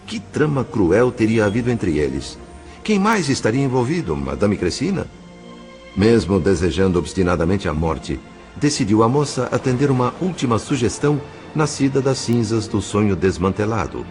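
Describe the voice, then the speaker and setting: A Brazilian man playing a grave spirit narrator in an audio novel, telling a tragic story in a solemn, literary, measured way. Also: fairly low pitch, very animated, a little mellow, soft background music. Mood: solemn, dramatic. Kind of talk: dramatised scripted narration.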